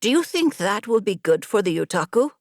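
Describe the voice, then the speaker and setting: A woman's voice: medium pitch, big pitch swings, brisk, no room sound, clean studio sound. A woman narrator voicing a loyal, pestering young apprentice horsemaster in an audiobook, exasperated and wry. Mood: worried warning. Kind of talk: audiobook character dialogue.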